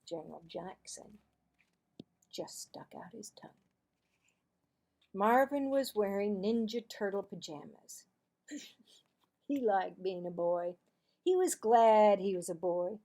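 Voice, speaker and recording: A woman narrating a children's storybook aloud in an expressive, deliberate manner. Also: medium pitch. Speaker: teacher-style read-aloud narrator.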